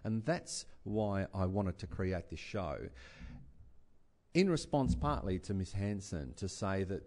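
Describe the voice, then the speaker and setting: A man's voice: low-pitched, slow, darkish tone. A man speaking on a writers festival panel, earnest and reflective, often restarting and repeating words as he thinks aloud. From Australia. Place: writers festival session before an audience.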